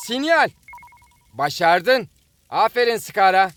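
An earnest young football star. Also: male voice, high voice, big pitch swings, slow pace, a bit bright, no echo, full character voice. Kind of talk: Turkish-dubbed children's cartoon acting.

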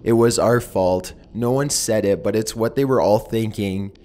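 A man narrating horror stories in a measured, somber, deliberate manner as the host of his channel. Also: fairly low pitch, darkish tone. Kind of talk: scripted horror story narration.

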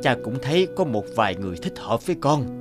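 Man voicing a gentle old father, expressive delivery. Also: medium pitch, fast, over music, natural voice. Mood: worried, tender. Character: gentle old bamboo cutter, loving adoptive father. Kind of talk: Vietnamese children's cartoon dubbing.

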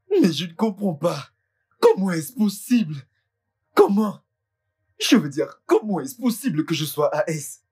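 An actor dubbing a distraught husband who stammers and insists bitterly. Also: male voice, a little high, a little mellow, slightly rough, heavily acted. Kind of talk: dubbed film acting.

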